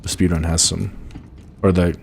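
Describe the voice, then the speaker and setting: Man, deep groggy voice, blunt quick chat while streaming, faint hiss behind. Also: gravelly. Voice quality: low voice. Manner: blunt, sounds annoyed even when not. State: groggy, just woke up. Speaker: speedrunner streaming a game. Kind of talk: casual live-stream commentary.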